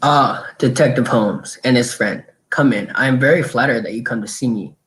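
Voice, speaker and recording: Man playing chief inspector, radio drama, proud, suave, deliberate, condescending. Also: mid-range voice, a bit bright, lightly acted. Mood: smooth, knowing.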